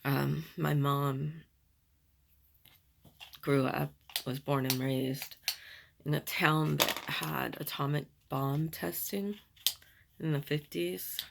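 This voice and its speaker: A middle-aged woman with a raspy voice, chatting candidly while doing her makeup, in a slow, rambling manner. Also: deep voice, steady tone, background noise. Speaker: beauty vlogger.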